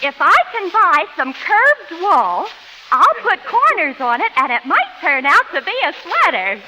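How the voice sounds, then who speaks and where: A woman's voice: very high-pitched, sing-song, measured pace, full character voice. A woman playing a naive, stubborn, chatty scatterbrain with earnest, emphatic delivery in a 1930s radio comedy. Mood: naive, chirpy. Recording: very noisy 1930s radio broadcast.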